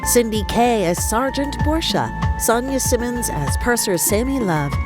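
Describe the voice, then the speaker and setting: A woman's voice: a little high, expressive, measured pace. A woman announcer reading scripted credits for a podcast. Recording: loud music under the voice. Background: loud music.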